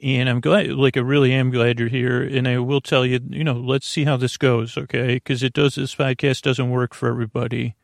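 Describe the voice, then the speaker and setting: Man, gravelly voice, wandering bedtime talk, stumbling restarts, dead dry sound. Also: medium pitch, flat delivery, normal speed, few pauses, no echo. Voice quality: creaky. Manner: meandering, hesitant, many fillers and restarts. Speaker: sleep podcast host. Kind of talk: rambling bedtime monologue.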